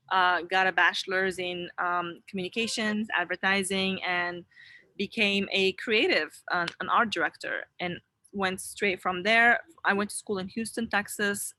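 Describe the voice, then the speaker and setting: A woman's voice: medium pitch, pushed and bright. A young adult woman from Morocco, a wellness founder and interview guest, telling her story in an earnest, rambling way with many restarts. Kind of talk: casual interview talk. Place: online video interview.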